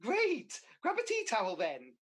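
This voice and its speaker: A scatterbrained, cheeky pantomime Mother Goose acted by a woman, husky and fairly high. The pitch swoops wildly through flippant, exclamatory comic lines in a radio play. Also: huge pitch swings, unhurried, full character voice. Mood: bemused, earnest.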